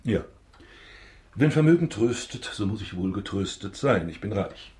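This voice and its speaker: An actor in a German radio drama playing a pompous, self-pitying banker baron, formal and theatrical. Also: male voice, lowish voice, lively intonation, normal speed, darkish tone, natural voice. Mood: boastful.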